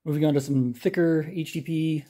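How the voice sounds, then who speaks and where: Middle-aged male DIY host, warm and slightly raspy, plain-spoken workshop explainer. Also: on the high side, a little fast. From Canada. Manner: relaxed, plain-spoken, talks while working. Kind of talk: hands-on how-to demonstration. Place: garage workshop.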